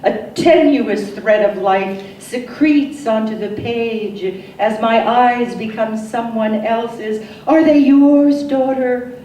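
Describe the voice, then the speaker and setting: A woman poet reading her own work as a dramatic recitation, solemn and deliberate, with emphatic phrasing and pauses. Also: fairly high pitch, warm tone. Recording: echoey, distant mic, noisy room.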